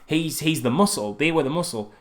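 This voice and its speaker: A man hosting a casual film review podcast, talking in an exasperated, halting, sweary way and often repeating himself. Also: on the high side, lively intonation. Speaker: podcast host reviewing films.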